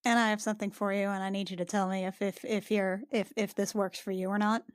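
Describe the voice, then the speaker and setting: A woman's voice: mid-range voice, level tone, quick pace, clean tone, no echo, studio quality. A woman playing in a tabletop roleplaying podcast, telling an improvised story in a casual, playful way.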